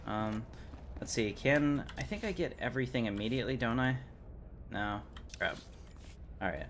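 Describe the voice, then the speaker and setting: A man's voice: medium pitch, expressive, normal speed, a bit bright, a little hiss or hum. A man streaming a game, talking casually in a rambling, informal way. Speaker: game livestreamer. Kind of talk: casual livestream chat and commentary.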